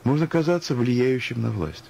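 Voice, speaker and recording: A man's voice: medium pitch, expressive, moderate pace, vocal fry, a little mellow, a little hiss or hum. A man speaking as a guest in a radio interview, musing and ironic, arguing through anecdotes and examples. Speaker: interview guest, music professional.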